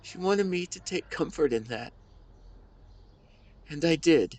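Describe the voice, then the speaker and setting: A somewhat higher-pitched male voice carries a grieving husband as a man acts him out in an intimate audio drama. He speaks tenderly to the wife he lost, halting with emotion and full of devotion. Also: expressive, a little mellow. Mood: tender, bittersweet. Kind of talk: intimate audio roleplay monologue.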